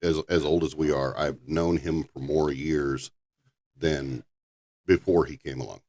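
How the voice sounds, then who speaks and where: An older man with a very low voice, hosting a casual podcast chat, rambling and halting with frequent restarts. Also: fairly even intonation, a little mellow. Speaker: podcast host.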